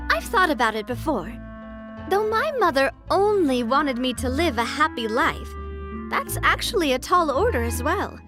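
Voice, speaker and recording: A woman's voice: high-pitched, sharp tone, full character voice. A woman playing a sincere, sensitive young lady boss in a video game, speaking in an earnest, reflective way.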